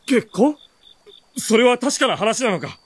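An actor playing an earnest, caring young warrior companion with a husky voice in a radio drama. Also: male voice, very high-pitched, sing-song, a little slow, no echo, full character voice. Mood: surprised urging. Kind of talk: Japanese radio drama acting.

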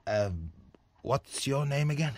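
A husky, hesitant Irish man. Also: mid-range voice, animated, no room sound. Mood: subdued. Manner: halting, hesitant. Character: Martin, grieving, hesitant working son of a dead mother. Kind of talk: naturalistic radio drama acting.

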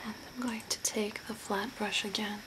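A young woman playing an eerie, soothing zombie-makeover artist in a soft, breathy ASMR whisper, slow and deliberate. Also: medium pitch, steady tone, close to an everyday voice. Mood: hushed, eerie. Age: young adult. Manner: slow, hushed, deliberate, spooky. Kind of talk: whispered ASMR role play. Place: small room at home by a window. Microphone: close camera at arm's length. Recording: noisy home recording with ambience.

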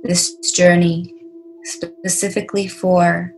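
A woman leading a guided meditation in a soothing, deliberate way, leaving long pauses between short phrases. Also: lowish voice, little pitch movement, darkish tone, faint music behind, poor audio.

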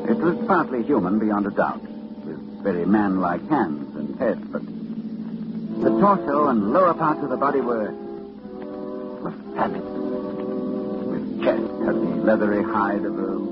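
Horrified scholar, dramatic halting delivery, wide-swinging pitch, 1940s radio horror, music behind. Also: male voice, dull audio. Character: haunted, horrified male scholar recounting terrors.

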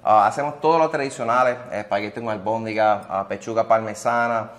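A man, a restaurant owner answering interview questions in a relaxed way, dropping in English words. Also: fairly low pitch, brisk. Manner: relaxed, many "ah" fillers, mixes in English words. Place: indoors at a restaurant bar.

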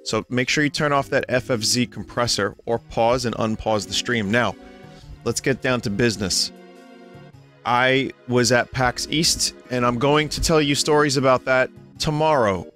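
A young man, a little hoarse and tired, chatting casually and rambling to his stream viewers from home. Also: mid-range voice, steady tone, moderate pace, a bit bright, soft background music. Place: home room.

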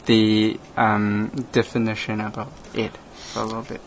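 A man from Laos, a native Lao speaker, hosting a radio talk and speaking haltingly with many restarts. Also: on the low side, completely flat delivery, slight background noise, poor audio. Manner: halting, hesitant, frequent restarts, laughs often. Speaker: radio program host, monk. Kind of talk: casual conversation in English.

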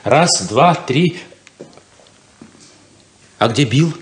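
An actor playing the excitable, naive younger son of a ranching family in a 1970s radio drama. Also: male voice, medium pitch, very slow. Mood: excited.

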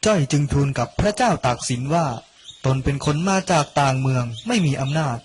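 A young man reading a scripted narration for an animated story. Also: fairly high pitch, fairly quick, a little hiss or hum. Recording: loud music under the voice. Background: loud background music.

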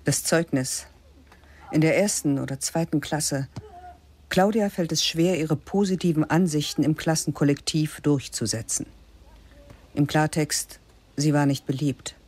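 A woman narrating a literary radio play in a slow, measured, spare voice that often sinks to a whisper. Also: low voice, darkish tone, breathy edge.

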